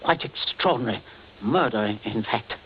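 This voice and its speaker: An actor playing an outwardly genial, controlling English family patriarch in a 1940s radio drama, genteel and falsely hearty. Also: male voice, lowish voice, lively intonation, normal speed. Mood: anxious politeness. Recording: muffled, noisy 1940s radio recording.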